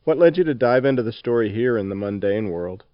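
A man's voice: medium pitch, lively intonation, a little fast, clean tone, no echo, lo-fi sound. A man hosting a podcast interview, introducing and questioning a guest. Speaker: podcast host.

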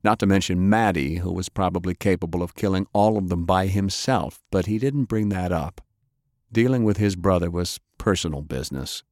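A man's voice: low-pitched, moderate pace, darkish tone, dry recording, studio quality. A man reading adventure fiction as a dramatic audiobook narrator.

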